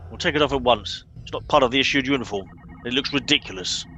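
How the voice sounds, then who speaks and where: A man plays a pompous captain in a radio comedy, officious and impatient. The voice is forceful and mid-pitched, with faint noise behind it. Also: quick pace, lo-fi sound, natural voice. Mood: exasperated. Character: pompous, impatient male captain of bumbling crew. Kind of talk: comic radio play acting.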